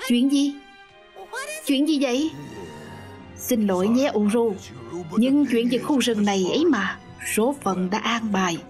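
A woman dubbing every character in a cartoon herself, reading the lines in quick, lively turns. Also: on the high side. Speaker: dubbing narrator voicing all cartoon characters. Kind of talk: single-voice cartoon dub. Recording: dub over loud music.

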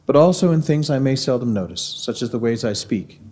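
Middle-aged missouri guy giving a formal campus talk, warm mellow voice, steady earnest pace, podium mic in a big auditorium. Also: mid-range voice, breathy edge. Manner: measured, earnest lecturing. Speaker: university speaker. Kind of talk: formal devotional address. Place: large university auditorium. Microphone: podium microphone.

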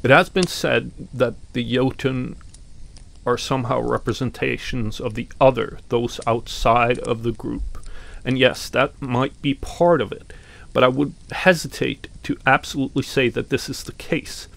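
Slow, gravelly-voiced Norwegian man. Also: medium pitch, fairly even intonation, fairly bright tone. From Norway. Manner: slow and deliberate, with pauses and some fillers. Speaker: podcast host. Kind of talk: reflective commentary on mythology.

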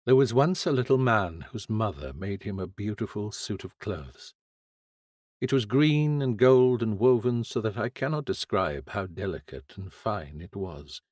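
A man's voice: fairly low pitch, expressive, measured pace, darkish tone, dry recording, studio quality. A man with an English accent narrating a fable as an audiobook reader.

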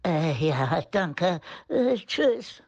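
A man voicing a sheepish, slow-witted motorist in an audio drama, hesitant and stammering. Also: sits high, very animated, muffled recording, voice pushed up, full character voice. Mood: sheepish, apologetic.

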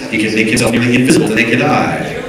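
A man's voice: medium pitch, little pitch movement, very fast. A middle-aged American man hosting a panel in a casual, joking, slangy and rambling way. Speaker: panel host. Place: hotel conference room before an audience. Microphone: handheld PA mic, captured by distant camera. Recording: very echoey, distant, busy crowd behind. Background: audience crowd.

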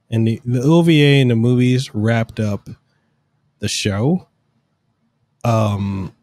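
A man's voice: on the low side, darkish tone, no echo. A man co-hosting a podcast, talking casually and rambling through long explanations with fillers, on a studio mic at home. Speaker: podcast co-host. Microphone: studio mic on arm, headphones.

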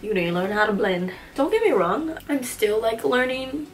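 A woman's voice: a little high, animated, normal speed, low-quality recording. A young woman in her twenties with a stuffy nose from a cold, chatting casually and slangily while walking through a makeup tutorial in a small room. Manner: chatty, slangy and self-teasing. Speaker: beauty vlogger.

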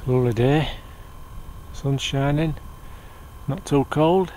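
A man's voice: mid-range voice, slow, darkish tone. A man giving a cheerful running commentary while filming a friend on an open hillside. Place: open hillside outdoors in wind. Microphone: handheld camera mic. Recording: faint wind noise outdoors.

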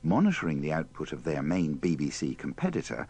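A man's voice: rumbling low, wildly swooping pitch, a little fast, dark tone, breathy edge. A man presenting a radio compilation, reading scripted links in a droll, dryly ironic manner. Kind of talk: scripted narration, wry links between clips.

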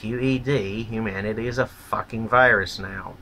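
A man with a fairly low voice and a hard, forceful tone speaks in a solo rant. He is blunt and contemptuous and swears freely. A faint background noise sits under the recording. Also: fairly even intonation, moderate pace, vocal fry. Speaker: vlogger. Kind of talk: opinionated rant monologue.

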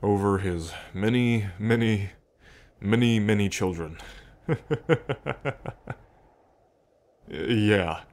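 A man playing a fond, reflective dragon king in an intimate ASMR roleplay, musing aloud to the listener with pauses. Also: fairly low pitch. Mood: amused. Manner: unhurried, musing, pausing, softly chuckling between thoughts. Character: adult male dragon king, fond, reflective, proud of ancestors.

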